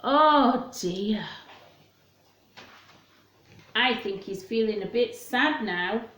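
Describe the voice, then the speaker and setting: A woman's voice: fairly high pitch, lively intonation, takes it slowly, a bit bright, roomy sound. A woman reading a story aloud to young children, speaking simply and expressively to her listeners.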